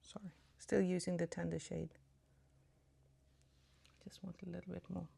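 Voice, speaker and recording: A woman from Sweden with a slightly Swedish-tinged British English accent, chatting casually through a makeup tutorial just after waking up, dropping to soft murmurs as she applies makeup. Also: lowish voice, level tone, moderate pace, gravelly, noisy background. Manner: chatty, apologetic asides, soft murmurs while applying makeup. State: just woke up. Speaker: beauty vlogger.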